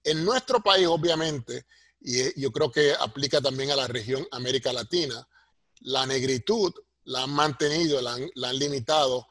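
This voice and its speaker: A middle-aged or older man with a Puerto Rican Spanish accent and a husky voice, explaining things like a professor in an interview and punctuating his points with tag questions. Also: mid-range voice, moderate pace, a bit bright, dry recording. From Puerto Rico. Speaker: sociology professor, interview guest.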